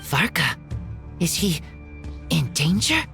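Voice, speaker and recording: A man voicing a shy, loyal teenage boy raised in the wild, speaking in short halting phrases in a video game. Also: on the high side, big pitch swings, gravelly, music in the background, exaggerated voice. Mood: worried, earnest. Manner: halting, terse, slow. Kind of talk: scripted video game voice acting.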